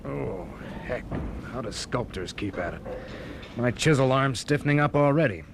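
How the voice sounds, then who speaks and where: A young university student in a radio drama, restless and curious. His talk is colloquial and animated, swinging up and down in pitch. The recording carries faint noise. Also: normal speed, vocal fry. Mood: restless, intrigued. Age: young adult. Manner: informal, colloquial.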